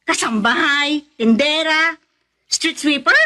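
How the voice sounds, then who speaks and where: Pushy scheming big sister, high-pitched. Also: female voice, slow, pushed and bright, heavily acted. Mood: mocking, scolding. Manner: pushy, mocking, exaggerated. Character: scheming, mercenary older sister pimping her younger sister. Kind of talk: Tagalog radio drama acting.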